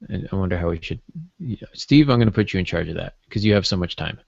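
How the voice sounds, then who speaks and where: A man's voice: sits low, animated, fast talker, creaky, a little mellow, no room sound. A man chatting casually as a podcast co-host, with a wry, joking manner. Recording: dull, muffled lo-fi audio.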